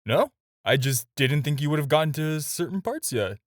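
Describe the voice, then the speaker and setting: A man acting in an audio roleplay as a smooth-talking, flirtatious villain who teases and coaxes the listener with playful pauses. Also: fairly high pitch, animated, no echo, studio quality, natural voice. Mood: teasing, pleased. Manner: coaxing, playful, hesitant pauses and drawn-out teasing. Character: smooth-talking, flirtatious adult male supervillain, teasing and persuasive.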